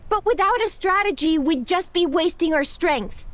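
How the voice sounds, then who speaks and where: A woman's voice: very high-pitched, lively intonation, normal speed, a bit bright. A woman playing a sensible young female superhero teammate in a video game. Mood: wary. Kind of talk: video game cutscene acting. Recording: dramatic game music behind.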